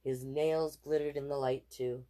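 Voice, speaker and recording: A woman reading a children's picture book aloud in an expressive, animated way, with casual asides. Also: a bass voice, measured pace. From Canada. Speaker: storytime reader.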